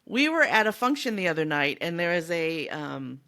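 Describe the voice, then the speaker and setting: A woman co-hosting a podcast, chatting casually and in an animated way about a book. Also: lowish voice, moderate pace. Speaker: podcast co-host.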